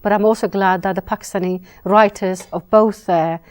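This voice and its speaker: A woman novelist from Britain, answering interview questions in a measured, reflective way with some restarts. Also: medium pitch, steady tone, a little mellow.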